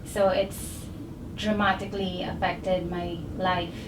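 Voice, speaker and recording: A woman's voice: on the low side, flat delivery. Barbadian woman in an echoey room. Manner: halting, short phrases. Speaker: patient. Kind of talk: recounting a medical history. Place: indoor chiropractic clinic. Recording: echoey noisy room, distant mic.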